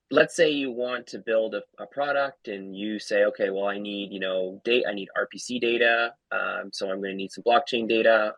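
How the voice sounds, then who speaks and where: A company founder, a man, explaining his product eagerly on a casual call, talking in quick bursts. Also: lowish voice, a bit bright, no echo, low-quality recording. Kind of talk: casual chat.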